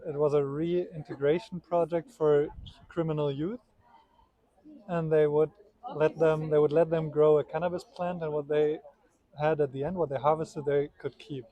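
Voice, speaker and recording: A man's voice: a little high, flat delivery, moderate pace, narrow, tinny audio. A man talking casually and earnestly, in a reflective storytelling way.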